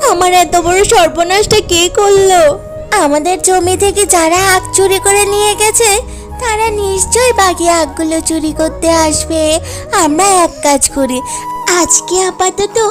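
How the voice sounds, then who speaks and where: A woman voicing an affectionate, earnest mother fox prone to worry in a cartoon. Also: very high voice. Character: worried, affectionate mother fox who sells sugarcane juice. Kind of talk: cartoon character dialogue.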